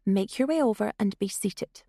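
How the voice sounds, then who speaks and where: A woman's voice: medium pitch, lively intonation, moderate pace, vocal fry, mellow, dry recording, studio quality. A woman voicing a bossy, theatrical teenage girl who leads a prank. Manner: commanding, theatrical.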